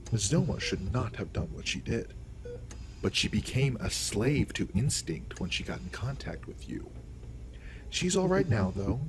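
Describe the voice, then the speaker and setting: A man playing a calm alien doctor in a science fiction audio drama, explaining things in a measured, reassuring way. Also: fairly low pitch, natural voice, poor audio.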